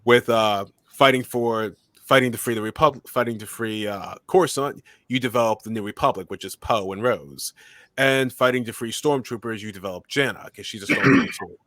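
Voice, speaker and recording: A man speaking as a guest in an interview, answering at length in a steady monotone voice. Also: mid-range voice, normal speed, dry recording. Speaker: guest filmmaker and commentator.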